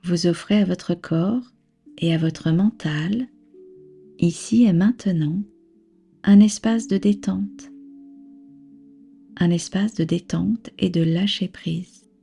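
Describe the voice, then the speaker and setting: A woman with a soft, breathy voice leading a guided relaxation as a yoga nidra instructor, soothing, unhurried and gently instructing. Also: lowish voice. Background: background music.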